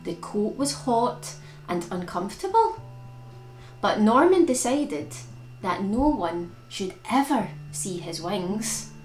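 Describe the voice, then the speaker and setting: A woman reads a picture book to young children at an unhurried pace, her pitch swooping expressively as she leans on key words. The room adds some echo, and guitar music plays along with her storytelling. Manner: animated, dramatic emphasis on key words. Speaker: children's storytime reader and host.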